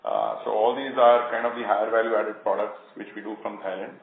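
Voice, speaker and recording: A man, speaking in a measured, hedging, businesslike way as an executive answering questions on an earnings call. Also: lowish voice, steady tone. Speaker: company executive answering analysts. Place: conference call. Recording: phone-like line, roomy echo, faint noise.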